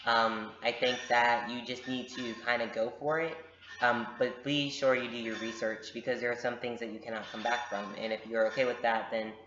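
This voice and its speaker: Young man, casual vlogger, direct and unfiltered, mid-pitched, slightly muffled home recording. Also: flat delivery, moderate pace, sharp tone, echoey room. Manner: frank, direct, many fillers. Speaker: vlogger answering viewer questions. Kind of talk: casual Q&A vlog. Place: home room with a pet bird. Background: bird noises.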